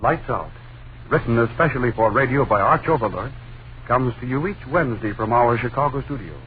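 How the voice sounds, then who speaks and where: A man's voice: medium pitch, brisk. A man working as a radio announcer, reading a formal scripted sign-off in old-time radio style. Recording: tinny, noisy old radio broadcast.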